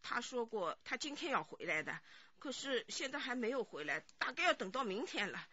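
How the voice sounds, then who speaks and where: Flustered, high-pitched old mother. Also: sing-song, brisk, pushed and bright, slightly rough, no echo, heavily acted. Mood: nervous, defensive. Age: older. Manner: flustered, wailing, repeats herself, many sighs. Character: anxious, evasive old mother protecting her grown son. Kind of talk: radio drama acting. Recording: slightly dull studio radio drama sound.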